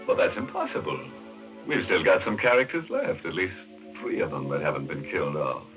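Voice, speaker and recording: A man's voice: sits low, huge pitch swings, brisk. A man hosting a 1940s horror radio show in a macabre, jokey, theatrical manner. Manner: mock-ghoulish, theatrical. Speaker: horror anthology host. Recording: muffled vintage radio, faint music behind.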